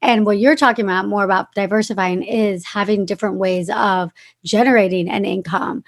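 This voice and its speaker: A woman's voice: mid-range voice, fairly even intonation, brisk, vocal fry. A woman hosting a podcast interview, talking casually with many fillers and agreeing often. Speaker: podcast host.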